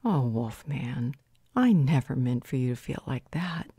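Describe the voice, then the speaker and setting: A woman plays a strong-willed female vampire in an audio roleplay. Her voice is low and mellow, recorded close and dry, with a measured, thoughtful delivery that has a dry edge of authority. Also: lively intonation, breathy edge, no room sound, exaggerated voice. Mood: apologetic, concerned. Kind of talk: roleplay audio drama acting.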